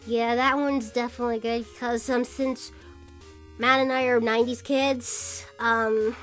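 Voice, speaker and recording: A woman's voice: fairly high pitch, flat delivery, a little slow, fairly bright tone, soft background music. A woman in her twenties chatting casually as a vlog host, rambling and hesitant as she talks. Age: 20s. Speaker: vlogger and podcast host. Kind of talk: casual shoutout vlog chat.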